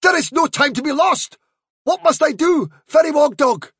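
A man voicing a foolish, excitable male guard dog in a storybook reading, crying out in a high, eager, gullible voice. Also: a little slow, breathy edge, dry recording, clean studio sound, exaggerated voice. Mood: alarmed.

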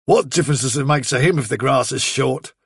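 A man's voice: medium pitch, a little fast, vocal fry, husky, dry recording, studio quality. A man voicing a blunt, impatient rabbit. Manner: brusque, dismissive. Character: big blunt male rabbit, impatient and gruff.

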